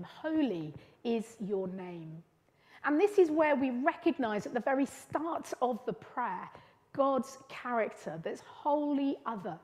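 A middle-aged woman giving a sermon at a church lectern, speaking in an earnest, gentle teaching manner with measured pauses. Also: on the high side. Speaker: preacher leading a church service. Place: church hall. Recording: long church reverb.

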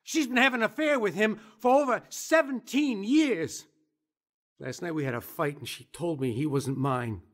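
An actor playing a distraught middle-aged father in a radio drama, talking in shaky, halting bursts. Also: high-pitched, a bit bright. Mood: shaken, bitter.

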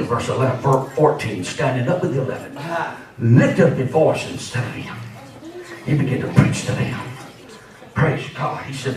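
A man preaches with fervent emphasis, dropping in amens as he goes. His voice sits high for a man. It comes through a handheld mic into a very echoey church, heard from far off. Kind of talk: Pentecostal sermon. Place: church sanctuary, at and around pulpit. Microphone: handheld microphone.

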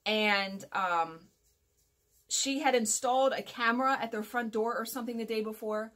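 A woman's voice: a little high, moderate pace, a bit bright. Chatty woman in her forties, casual vlogger. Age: 40s. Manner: chatty, rambling, self-correcting, emphatic. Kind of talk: casual chat to viewers. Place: small furnished room at home, indoors.

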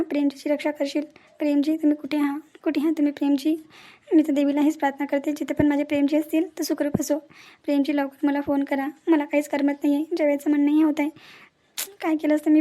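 A woman acting a soft-hearted, fretful village woman from a family cartoon. Her high voice runs quickly with little rise and fall. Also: lightly acted. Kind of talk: cartoon family drama dialogue.

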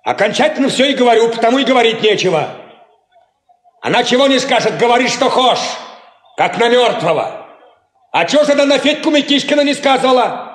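A flippant young village lad in an old radio drama. The voice is high and very lively in pitch, brazen and slippery, with a roomy echo. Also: male voice, brisk. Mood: defiant. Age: young adult. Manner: brazen, dismissive. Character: cocky, evasive young peasant farmhand, flippant. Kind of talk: Soviet 1950s radio play acting.

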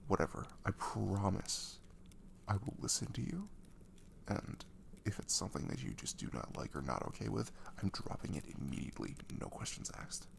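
A man playing a caring, reassuring young boyfriend in an ASMR roleplay, speaking gently and hesitantly to his nervous girlfriend. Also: deep voice, big pitch swings, moderate pace, creaky, slight background noise, exaggerated voice. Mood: tender, reassuring. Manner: hesitant, gentle, soothing, with soft chuckles.

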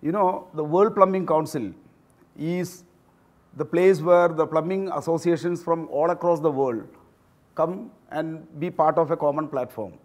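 A man's voice: a little high, unhurried. An older man explaining things formally and earnestly as an interviewed chairman. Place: indoors, house sitting room.

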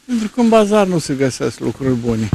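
A man's voice: mid-range voice, very animated, gravelly, darkish tone, a little hiss or hum. An elderly man giving terse, blunt opinions in a street interview into a handheld microphone. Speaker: passer-by interviewee. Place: outdoors on a snowy street. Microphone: reporter's handheld microphone.

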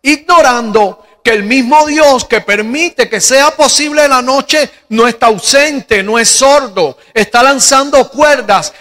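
A middle-aged man from Cuba with a Cuban Spanish accent, preaching a sermon into a handheld mic in a large church hall, slow and deliberate, with rhetorical questions. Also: very high-pitched, a bit bright. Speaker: pastor. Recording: long hall reverb.

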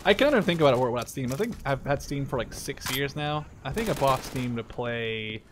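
A man's voice: medium pitch, expressive, brisk, background noise. A man doing casual video game commentary in a rambling, profane, easily distracted way. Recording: gunfire and clicks behind the voice. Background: video game gunfire.

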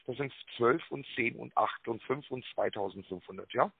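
A man giving a rehearsed telephone sales pitch, full of tag words and hesitations, with a raspy voice. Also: moderate pace, slight background noise. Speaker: telemarketing caller pitching a prize draw. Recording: narrow tinny phone line.

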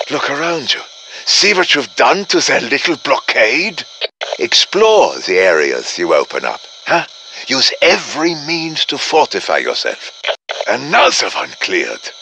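An earnest German-accented professor in a video game, addressing his fighters with courtly drama. His voice is bright and forceful and swings widely in pitch. He takes his time over each phrase. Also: wildly swooping pitch, measured pace, gravelly, slight background noise, exaggerated voice. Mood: rousing. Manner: dramatic, urgent, courtly encouragement. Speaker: game character voice. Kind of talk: scripted video game voice lines.

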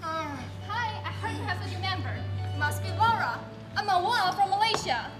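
A woman's voice: very high-pitched, lively intonation, normal speed, loud music behind the voice. A teenage girl acting in a school stage play with a theatrical delivery. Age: teens. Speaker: student performer. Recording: echoey hall stage recording.

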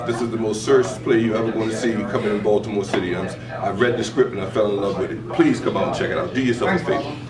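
A man's voice: on the low side, fast, few pauses. A middle-aged man giving an earnest event promo indoors to a distant camera. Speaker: arts centre representative. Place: indoors against a plain wall. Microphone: far camera. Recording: distant, echoey, chatter behind.

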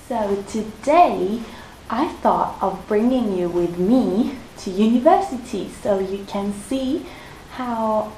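A woman's voice: mid-range voice, warm tone, roomy sound, slight background noise, low-quality recording. Chatty young Italian woman vlogging. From Italy. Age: 20s. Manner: chatty, with frequent "so yeah" fillers. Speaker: vlogger, university student. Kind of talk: casual vlog talk to camera. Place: small bedroom, then outdoors in city streets and a lecture hall. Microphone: action camera held at arm's length.

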